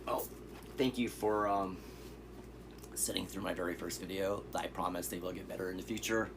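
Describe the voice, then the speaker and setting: A hoarse man showing off his thrift-store movie finds in a relaxed, rambling way, with fillers and asides. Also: deep voice, moderate pace, background noise. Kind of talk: casual vlog.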